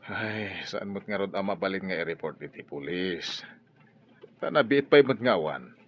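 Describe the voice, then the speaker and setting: A man's voice: low-pitched, lively intonation, normal speed, breathy edge. Stern father, widely swinging voice. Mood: worried, exasperated. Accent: Ilocano. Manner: scolding, sighing. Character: stern, exasperated father of a wayward daughter. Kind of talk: Filipino radio drama acting. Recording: dull lo-fi radio audio over music.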